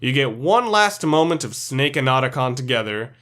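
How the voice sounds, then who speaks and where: A man's voice: medium pitch, sing-song, normal speed, fairly bright tone. A man reading scripted commentary for a video game ranking list, blunt and opinionated.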